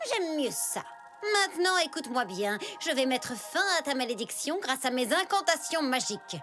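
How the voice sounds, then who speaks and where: A sly female cartoon blackbird, high-voiced and very expressive. She is a sassy, theatrical prankster who likes bossing others around. Music plays clearly behind her. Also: normal speed. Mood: mock-solemn. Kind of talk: French cartoon dubbing.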